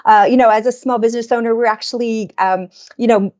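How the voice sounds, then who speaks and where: A woman's voice: fairly high pitch, steady tone, fast talker, darkish tone. A woman in a conversational business discussion, explaining research informally as a guest speaker and stressing key words.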